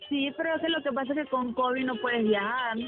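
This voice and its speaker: A woman's voice: high voice, a little fast, faint music behind. A woman answering a customer service phone call as a transport agent.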